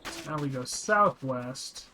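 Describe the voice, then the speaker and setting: A man's voice: medium pitch, expressive, takes it slowly, noisy background. A man giving casual, blunt and sweary commentary as he plays and describes a video game. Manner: reads game dialogue aloud. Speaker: video game streamer describing gameplay for blind listeners.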